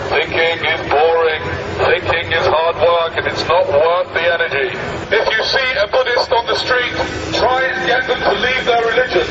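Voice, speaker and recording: A man's voice: sits high, echoey room. A man making a mock-earnest, declarative public speech through a megaphone, like a satirical street activist. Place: outdoor public business district. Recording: muffled, distant, noisy outdoor street recording.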